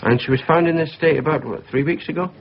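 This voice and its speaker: A man's voice: on the high side, fast, close to an everyday voice. A man playing a courteous, probing psychiatric registrar in a naturalistic radio drama. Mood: probing, concerned. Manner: probing, questioning, professional. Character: inquisitive, courteous male psychiatric registrar doctor. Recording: dull lo-fi recording, faint ambient noise.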